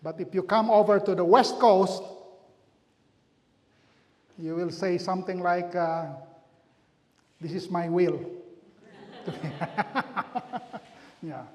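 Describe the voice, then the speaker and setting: A middle-aged man with a Filipino accent speaks in a pitched-up voice to a community gathering. He tells family stories in a relaxed, self-deprecating way. Long reverb from the church hall trails behind him. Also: takes it slowly, pauses often. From Philippines. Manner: chatty, self-deprecating, loose storytelling with asides. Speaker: pastor giving a health talk. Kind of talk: community health presentation.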